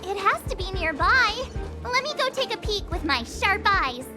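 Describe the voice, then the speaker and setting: A woman voicing a perky, impulsive teenage girl adventurer in an anime-style game, bubbly and excitable. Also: extremely high pitch, moderate pace. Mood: eager, curious. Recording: game music behind the voice.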